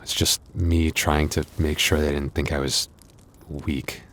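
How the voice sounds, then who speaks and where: A man playing a gentle, anxious young werewolf boyfriend in an intimate audio roleplay, speaking softly and haltingly. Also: a bass voice, flat delivery, slight background noise. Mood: reflective, uneasy. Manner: intimate, hesitant, soft-hearted, halting.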